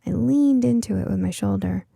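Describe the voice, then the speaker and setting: A woman's voice: mid-range voice, normal speed, vocal fry, mellow, no echo, clean studio sound. A woman reading a scripted story as an audiobook narrator.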